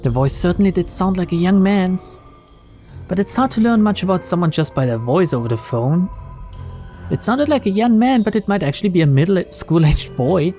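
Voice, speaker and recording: A man reading a visual novel aloud as narrator, in an expressive, dramatic storytelling style. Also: high voice, normal speed. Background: faint game music.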